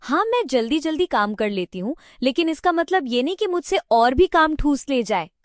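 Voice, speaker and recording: A woman's voice: high voice, big pitch swings, normal speed, no echo, studio quality. A woman voicing a hardworking young daughter-in-law in a cartoon story, firm and indignant. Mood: angry. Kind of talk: cartoon voice acting.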